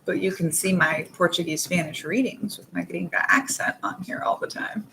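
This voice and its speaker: A woman's voice: on the low side, moderate pace, gravelly, sharp tone, slight background noise, low-quality recording. A young woman chatting casually on a livestream while knitting, rambling and laughing often. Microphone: phone mic with earbuds.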